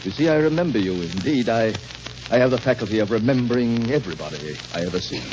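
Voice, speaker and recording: An actor in an old radio drama playing a controlling, courtly rich recluse with formal, condescending speech. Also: male voice, medium pitch, lively intonation, fast, lightly acted. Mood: imperious, smug. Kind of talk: 1930s radio drama acting. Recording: noisy, dull 1930s radio recording.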